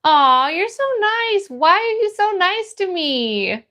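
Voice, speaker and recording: A woman's voice: extremely high pitch, very animated, slow pace, sharp tone. An American woman hosting a livestream in a casual, friendly and encouraging way, chatting with viewers and reading comments aloud. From United States. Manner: friendly, encouraging, greets people by name. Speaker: livestream host.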